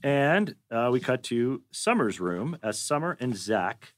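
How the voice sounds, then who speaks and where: A man's voice: mid-range voice, sing-song, a little slow. A man reading stage directions as narrator at a script table read.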